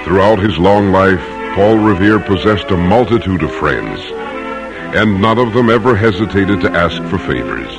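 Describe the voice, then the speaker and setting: An actor serving as a formal, courteous narrator in an old radio drama, speaking deliberately. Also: male voice, low voice, lively intonation. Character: formal, courteous male narrator questioning people of history. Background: music.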